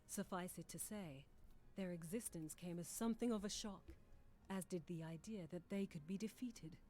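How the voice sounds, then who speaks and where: A woman's voice: lowish voice, normal speed, warm tone, close to an everyday voice. An actress playing a humble, earnest refugee warrior woman in a video game, speaking in formal, archaic phrases. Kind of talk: video game cutscene acting.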